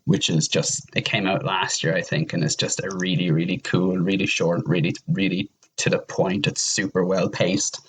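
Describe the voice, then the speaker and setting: A man's voice: low-pitched, flat delivery, normal speed, few pauses, fairly bright tone, a little hiss or hum, rough recording. A man chatting casually as a podcast guest, rambling enthusiastically and piling up intensifiers as he recommends things. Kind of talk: casual chat, recommending games.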